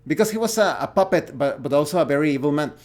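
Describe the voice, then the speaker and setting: Man, Mexican accent, somewhat high pitch, casual opinion vlog, halting delivery, searching for words. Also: expressive, darkish tone. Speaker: tabletop gaming vlogger.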